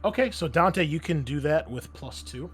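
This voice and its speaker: A man's voice: fairly high pitch, expressive, normal speed. Casual male game master over music. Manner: casual, conversational. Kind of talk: improvised narration of a tabletop game.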